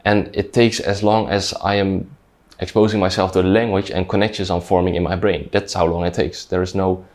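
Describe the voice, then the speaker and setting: A man with a notably low, deep voice speaks in English, which is not his first language; he grew up speaking Dutch. He explains ideas in a thoughtful, conversational manner, often pausing on "like" and restarting his sentences. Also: level tone, a little mellow. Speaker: language learning creator. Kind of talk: reflective talking-head explainer.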